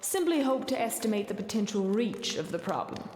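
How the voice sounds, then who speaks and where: An actress playing a proud, diplomatic elf military commander in a fantasy audio drama, speaking with measured, courteous formality. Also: female voice, mid-range voice, big pitch swings, darkish tone, lightly acted. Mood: measured, probing. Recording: echoey chamber reverb, faint noise.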